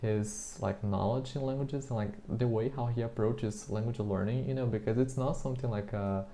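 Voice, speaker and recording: Portuguese-native guy, soft mellow voice, keeps saying like, hesitant but chatty. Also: medium pitch, fairly even intonation, normal speed, slight background noise. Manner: chatty, hesitant, leans heavily on the filler "like". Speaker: interviewer practicing English. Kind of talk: casual street conversation.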